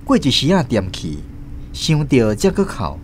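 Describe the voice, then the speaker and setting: A man with a Taiwanese accent giving expressive scripted narration as the host of a radio drama. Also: mid-range voice, unhurried, background noise.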